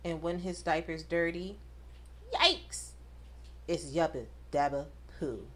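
A woman reading a rhyming picture book aloud to children, with expressive, dramatic emphasis. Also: sits low, slow. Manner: addresses children directly, dramatic emphasis on rhymes.

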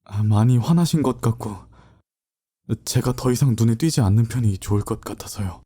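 A man's voice: mid-range voice, moderate pace, mellow, husky, close to an everyday voice. A man playing a polite, stiff young male student who speaks formally and apologetically. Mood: earnest. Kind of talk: amateur audio drama acting.

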